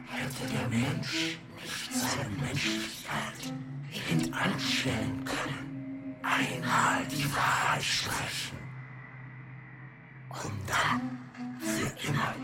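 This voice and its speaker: An actor in a radio drama voicing an androgynous, oracular creature of gaps and memory, declaiming grandly in fragmented, poetic phrases. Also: medium pitch. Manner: declamatory, slow, fragmented phrasing with weighty pauses. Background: prominent music.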